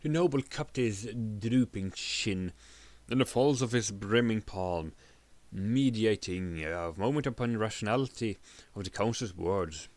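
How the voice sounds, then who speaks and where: A man giving a dramatic reading of a story, halting and stumbling over words as he narrates. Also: on the low side, darkish tone, dry recording. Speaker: narrator, streamer.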